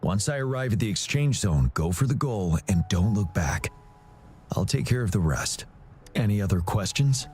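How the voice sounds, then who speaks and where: A man playing a calm, courteous young general in a video game, speaking in a composed, formal and reassuring manner. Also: fairly low pitch, normal speed, soft background music, close to an everyday voice. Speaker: military general.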